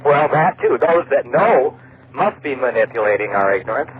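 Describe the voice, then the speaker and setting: An American man calls in to a radio show, feeling his way through his words. His mid-pitched voice is squeezed through a thin phone line with light hiss. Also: expressive, moderate pace. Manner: hesitant, searching for words. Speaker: phone-in caller. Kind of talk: unscripted call-in opinion. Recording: noisy narrow phone line over shortwave radio.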